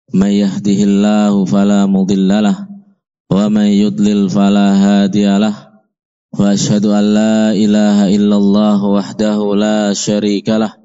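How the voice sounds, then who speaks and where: Very monotone, smooth male preacher. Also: lowish voice, muffled recording. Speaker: Islamic preacher. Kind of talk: formal sermon opening, Arabic recitation.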